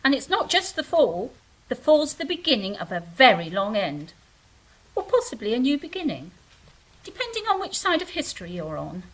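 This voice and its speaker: Woman as flippant angel, high voice, lively swings, husky edge, wry, petulant, audio drama. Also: moderate pace, exaggerated voice, poor audio. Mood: wry, conspiratorial. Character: flippant, restless, ever-hungry young angel named Monogamy. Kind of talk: comic audio drama acting.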